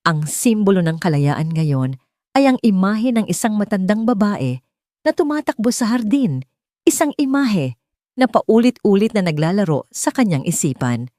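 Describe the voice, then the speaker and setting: A woman's voice: medium pitch, big pitch swings, moderate pace, warm tone, no room sound, studio quality. A woman narrating an audiobook in a dramatic, scripted storytelling style.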